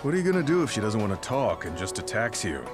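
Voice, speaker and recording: A man's voice: on the low side, sing-song, fast talker, over music. A man voicing a skeptical, laid-back young soldier in an anime-style game.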